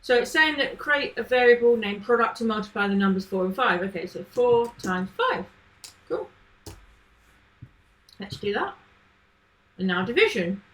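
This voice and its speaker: Woman, casual live-stream coder, slightly higher voice, rambling and friendly with chat. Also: animated, moderate pace, long pauses, a bit bright, rough recording. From not from Manchester. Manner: chatty, thinks aloud, answers chat questions. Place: home, living in Manchester.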